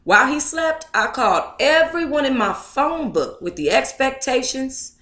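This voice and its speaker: A woman's voice: sits high, lively intonation, moderate pace, bright, forceful tone. An American woman hosting a book club livestream, chatting casually and reading aloud in a sassy, colloquial manner. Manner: sassy, colloquial, addresses listeners as honey and baby. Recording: echoey, roomy sound.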